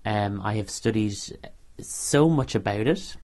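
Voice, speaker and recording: A young man speaks in a fairly low voice with a Dublin Irish accent. His unscripted, personal talk is earnest, and he often stops and repeats himself while finding the next phrase. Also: unhurried, darkish tone. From Ireland. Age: young adult. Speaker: interviewee, university student.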